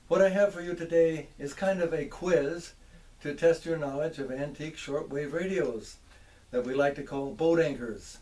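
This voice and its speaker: An older man with an American accent gives a folksy, measured show-and-tell, addressing viewers directly like a hobbyist presenter. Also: on the high side, darkish tone, a little hiss or hum. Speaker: presenter, antique radio hobbyist. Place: home workshop.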